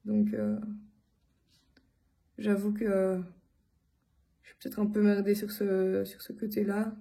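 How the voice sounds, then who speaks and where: Woman from Belgium, mellow voice, slow and halting, casual personal vlog storytelling. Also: medium pitch, little pitch movement, creaky. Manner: hesitant, halting delivery with frequent long filled pauses. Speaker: vlogger addressing followers.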